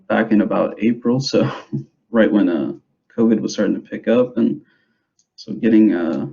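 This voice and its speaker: A young man who grew up in the United States, giving an informal presentation to parents in a hesitant, halting way, on a dull, muffled recording. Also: on the low side, gravelly, mellow. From grew up in the United States, born in El Salvador. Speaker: school substance abuse prevention specialist.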